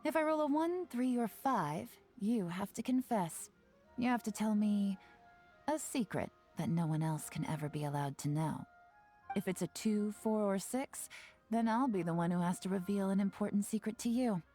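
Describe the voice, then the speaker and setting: Over soft background music, a woman plays a shrewd young female spymaster from a video game. Her voice is mellow and relaxed at a medium pitch. She speaks smoothly, with playful teasing and easy self-assurance. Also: natural voice. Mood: playful, probing. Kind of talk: scripted video game character dialogue.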